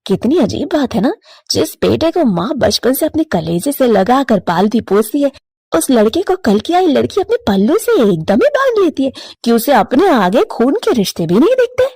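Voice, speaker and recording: A woman's voice: a little high, very animated, normal speed, dark tone, breathy edge, no room sound, clean studio sound, voice pushed down, heavily acted. A woman voicing an outspoken, sensible middle-aged neighbour bird in a cartoon story, chatty and admonishing. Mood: knowing, disapproving. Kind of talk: animated cartoon moral story.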